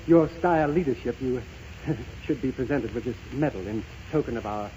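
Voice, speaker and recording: An actor playing a polite, hesitant young shop messenger in a radio comedy, speaking haltingly and deferentially. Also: male voice, mid-range voice, close to an everyday voice. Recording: muffled, hissy 1930s radio broadcast.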